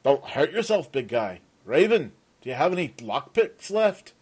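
A man voicing a hot-tempered young sergeant who shouts and exclaims, in an audiobook reading. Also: a little high, sing-song, normal speed, breathy edge, pitched above the performer's own voice. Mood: commanding. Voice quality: raised, exclaiming.

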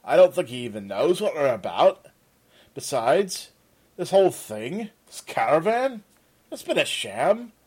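A man voicing a hot-tempered young sergeant who shouts and exclaims, in an audiobook reading. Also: fairly high pitch, sing-song, normal speed, breathy edge, pitched above the performer's own voice. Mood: angry accusing. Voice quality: raised, exclaiming.

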